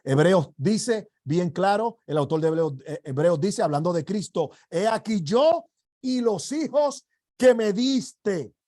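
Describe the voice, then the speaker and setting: Slow, high-voiced preacher. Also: male voice, expressive. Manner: slow, emphatic preaching with rising shouted declarations. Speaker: apostle teaching a ministry class. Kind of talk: sermon.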